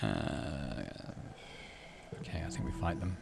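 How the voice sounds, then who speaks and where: A man's voice: extremely low pitch, animated, takes it slowly, gravelly. A man hosting a gaming playthrough, musing aloud casually and reading game text as he goes. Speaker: gaming channel host. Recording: faint background noise.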